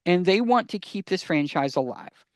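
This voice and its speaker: A man's voice: sits high, lively intonation, normal speed, no echo, studio quality. An American man co-hosting a podcast, explaining things emphatically and repeating phrases for stress. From United States. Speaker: podcast co-host.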